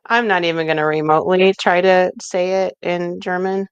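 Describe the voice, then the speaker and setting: A woman's voice: on the low side, fairly even intonation, normal speed, clean tone, no room sound, muffled recording. A woman hosting a cooking show in a home kitchen, explaining steps in a folksy, chatty way as she works. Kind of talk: casual cooking demonstration.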